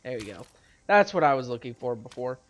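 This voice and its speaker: A man's voice: medium pitch, sing-song, a little fast, muffled recording. A man with a stuffy nose from a cold, casually commenting on his gameplay and rambling through weapon stats, correcting himself as he goes, with faint game music behind him. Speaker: let's play host.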